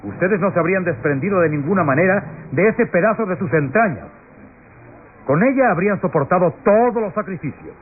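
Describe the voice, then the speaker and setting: An actor playing a pompous, accusing prosecutor in a declamatory, solemn style, addressing a jury in a classic radio melodrama. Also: male voice, sits high, animated, brisk, slightly rough. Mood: indignant, grave. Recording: tinny, noisy old radio recording.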